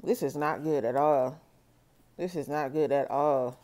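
A woman doing a solo tarot reading for her viewers, talking slowly and checking in with "okay?". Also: low voice, dark tone. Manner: slow, measured, repeats "okay?" to viewers. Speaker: tarot reader.

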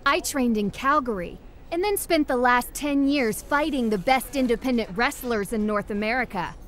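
Cocky rival wrestler in a game, played by a woman, sneering, slightly high voice, faint hiss behind. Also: moderate pace, fairly bright tone, natural voice. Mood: dismissive. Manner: dismissive, taunting. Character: cocky tough female wrestling trainee rival.

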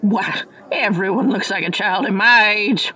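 A woman's voice: medium pitch, unhurried, bright, forceful tone, muffled recording, some chatter behind. A woman playing a quirky, ancient, folksy small-town aunt in a parody radio play. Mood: folksy, indignant.

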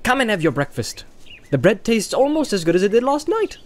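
A man's voice: high-pitched, sing-song, fairly quick, voice pushed up. A man voicing a cheerful, inquisitive young male hobbit in an eager, bouncy storybook manner. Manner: bright, eager, bouncy questioning.

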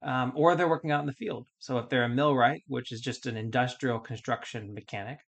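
A man's voice: mid-range voice, fairly quick, vocal fry. Casual man hosting an explainer podcast. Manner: casual, explaining step by step with many fillers and restarts. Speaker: podcast host. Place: small room with acoustic foam panels.

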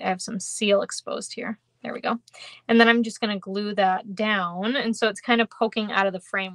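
Young woman, Minnesotan accent, chatty live craft instructor, mid pitch, dry close sound. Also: fairly bright tone, no room sound. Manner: chatty, giggly, lots of ums and kindas. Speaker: card-making instructor. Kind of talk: live stream craft demo, chatting with viewers. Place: indoor craft room.

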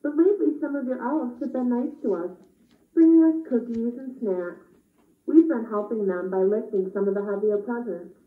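A woman's voice: fairly high pitch, normal speed, lightly acted. A woman playing a shy, polite, friendly reindeer in a children's puppet show. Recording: echoey, tinny, distant camera.

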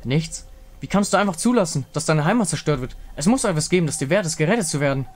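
A man's voice: on the high side, animated, fast. A man voicing a determined heroine in a game story, urgent, spirited and direct. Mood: indignant. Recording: music behind the voice.

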